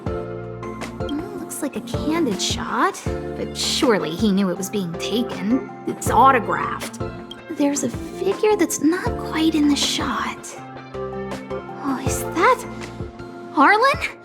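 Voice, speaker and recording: A young female sleuth from a video game, thinking out loud with expressive delivery. She speaks slowly in a high voice. Music is clearly audible behind her. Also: full character voice. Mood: curious, disdainful.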